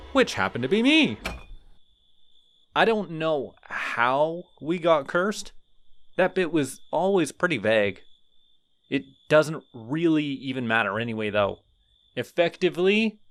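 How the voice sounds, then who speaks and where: A man's voice: on the high side, heavily acted. A man voicing a lonely, friendly skeleton who is centuries old, in an audio roleplay. He talks in a rambling, earnest, slightly awkward way.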